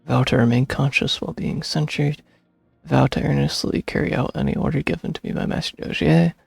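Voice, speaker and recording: A man's voice: a little high, brisk, creaky, husky, no room sound. A man streaming a video game, giving casual commentary and reading game text on a close microphone. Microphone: close streaming microphone, dry. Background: game music.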